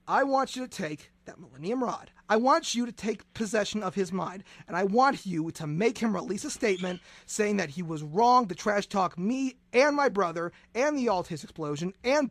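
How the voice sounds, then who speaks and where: High-pitched, creaky bombastic male radio host. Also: fairly bright tone, no echo. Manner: bombastic, stammering with repeated words. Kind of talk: comedic radio interview in mock-dramatic bits.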